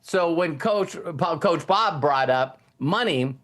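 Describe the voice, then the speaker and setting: A man's voice: on the high side, lively intonation, slow pace, a bit bright. A man hosting a casual motivational talk to a group, speaking informally and checking in with his listeners.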